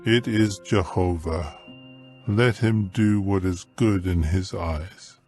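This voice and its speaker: A man's voice: low voice, takes it slowly, darkish tone, faint music behind. An actor playing an elderly, fatherly priest, grave in manner, in a dramatized scripture audiobook. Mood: grave resignation.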